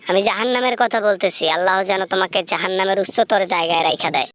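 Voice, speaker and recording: A woman's voice: mid-range voice, very fast, fairly bright tone, no echo, close to an everyday voice. A woman playing a wronged ghost demanding justice in an amateur phone-call skit, solemn, reproachful and threatening. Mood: vengeful.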